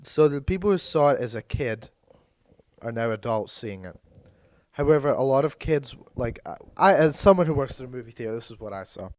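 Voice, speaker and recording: A man co-hosting a casual chat podcast, hoarse and losing his voice from being sick, talking in a meandering, hesitant way that often corrects itself. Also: mid-range voice, animated, a little fast, darkish tone, no room sound. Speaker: podcast co-host.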